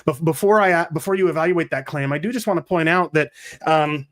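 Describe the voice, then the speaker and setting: A man with a slightly raised pitch hosts a relaxed theology discussion. He asks long, searching questions and trips over his words as he thinks aloud, restarting phrases before landing them. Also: fairly quick. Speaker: podcast host.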